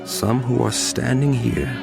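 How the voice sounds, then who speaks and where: A man's voice: medium pitch, steady tone, a little slow, gravelly, over music. An actor playing a grave, commanding male teacher in a dramatized scripture recording, speaking with solemn, emphatic delivery. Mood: solemn, earnest. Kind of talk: dramatized scripture audio acting.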